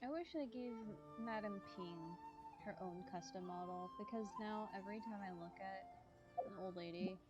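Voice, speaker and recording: A woman giving casual, halting commentary as she plays a game, thinking out loud. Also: medium pitch, dull audio, over loud music. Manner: musing, halting. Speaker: gaming streamer.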